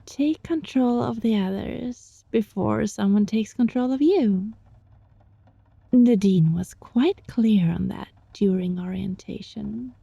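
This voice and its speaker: A woman playing a gentle, sly young fox-spirit student in an intimate ASMR roleplay, speaking softly and breathily in a coaxing, teasing way. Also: medium pitch, slow, no echo, highs cut off, heavily acted. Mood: sly explaining. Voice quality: soft, breathy.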